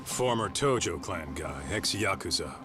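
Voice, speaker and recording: A man's voice: sits low, lively intonation, unhurried. An actor playing a brash, streetwise male detective in a game dub, teasing and blunt, with taunting slang. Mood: matter-of-fact. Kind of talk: dubbed video game cutscene acting. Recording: music behind the voice.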